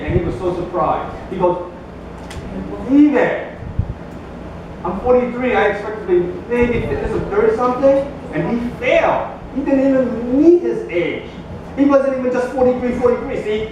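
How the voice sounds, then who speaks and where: A male pastor giving a sermon, conversational and joking, building to emphatic bursts. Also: high voice. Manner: conversational, jokey preaching with rising emphatic bursts. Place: church sanctuary. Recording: echoey church from a distance with background noise.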